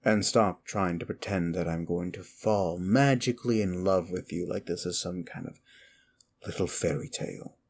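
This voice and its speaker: Man voicing a very deep, gravelly, contemptuous demon. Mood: cold, contemptuous. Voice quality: low, gravelly. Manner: theatrical, brooding, slow and deliberate with dramatic pauses. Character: wicked, contemptuous immortal male demon, secretly conflicted. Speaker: audio roleplay performer. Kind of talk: ASMR roleplay monologue, addressed to the listener.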